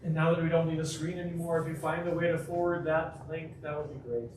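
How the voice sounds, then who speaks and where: Energetic man speaking in an echoey hall. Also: fairly high pitch, noisy background. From Chicago. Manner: energetic, quick asides, frequent restarts. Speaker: guest speaker. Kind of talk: public talk with audience Q&A. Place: community center hall. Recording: echoey hall, mic at a distance.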